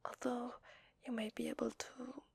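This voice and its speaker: A woman with an Italian accent playing a shy, secretly needy vampire lady in a hesitant, breathy whisper for an ASMR roleplay. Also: on the high side, little pitch movement, normal speed, dry recording, full character voice. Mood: modest, hesitant. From Italy. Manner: hesitant, polite, soft-spoken. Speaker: ASMR voice actor.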